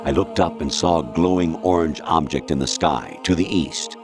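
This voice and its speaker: A man's voice: a bass voice, moderate pace. An actor playing a stern older male military colonel in a video game, commanding and formal but eerily erratic. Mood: eerie, erratic. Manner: commanding, clipped, formal, sliding into rambling non sequiturs. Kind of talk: dramatic video game voice acting. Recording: music behind the voice. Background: loud music.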